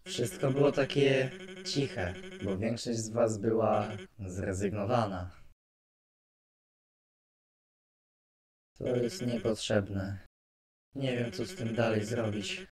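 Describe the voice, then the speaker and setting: A man playing an excitable, chatty skeleton artist guardian who is prone to brooding, in an animated fan dub with expressive delivery. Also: fairly low pitch, slow, crowd noise. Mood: dejected, bitter.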